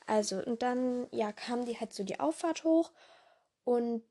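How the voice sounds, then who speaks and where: A young woman with a hoarse voice hosting a casual podcast in a rambling way, full of fillers and self-corrections, jumping between voices. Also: fairly high pitch, unhurried, warm tone. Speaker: podcast host.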